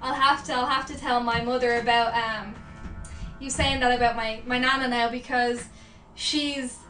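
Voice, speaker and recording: A woman's voice: a little high, fairly even intonation, moderate pace, bright, forceful tone, faint music behind. Young Irish woman, distant and echoey. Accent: Irish, Dublin. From Ireland. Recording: echoey room, voice away from mic.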